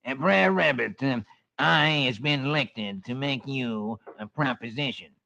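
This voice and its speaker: A man's voice: mid-range voice, unhurried, creaky, pushed and bright. A man voicing a dim, scheming male buzzard who drawls and stumbles over "uh". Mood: drawling. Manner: hesitant drawl full of "uh". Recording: dull old radio recording with faint hiss.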